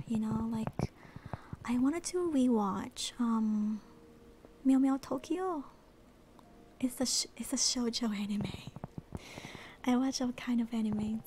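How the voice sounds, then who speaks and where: Young woman streamer, slight accent, unhurried breathy ASMR whisper, hissy close-mic audio. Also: fairly high pitch. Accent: slight non-American accent. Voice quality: soft airy whisper. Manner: relaxed, rambling, reads chat aloud. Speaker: VTuber streamer.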